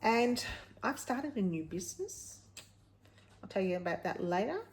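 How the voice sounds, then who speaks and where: A woman at an ordinary, middle pitch. She chats informally to her viewers as a vlog host. Her delivery is candid and rambling, as she circles back to rephrase and correct herself. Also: big pitch swings, measured pace. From Australia. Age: late 50s. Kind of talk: casual personal vlog, chatting to viewers.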